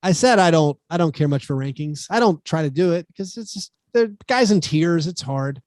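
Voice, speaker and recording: A man co-hosting a sports podcast, chatting casually with quick restarts and joking outrage. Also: fairly high pitch, expressive, darkish tone, no room sound, clean studio sound. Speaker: podcast co-host. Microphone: studio podcast mic.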